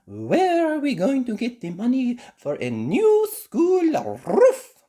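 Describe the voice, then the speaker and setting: A woman voicing a female schoolteacher gazelle, high and exclaiming. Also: huge pitch swings, slow pace, dark tone, heavily acted. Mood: excited.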